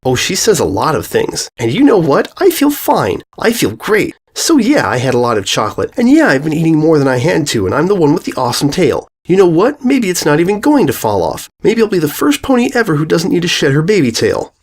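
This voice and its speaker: Woman voicing a high, bossy young filly pony. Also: huge pitch swings, a little fast, few pauses, darkish tone, husky, heavily acted. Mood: snappish, defiant. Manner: snappish, impatient.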